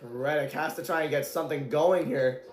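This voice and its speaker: A man calling a stock car race live as an amateur commentator. He talks excitably, often shouts, and his voice is going hoarse from the strain. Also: a little high, normal speed, echoey room.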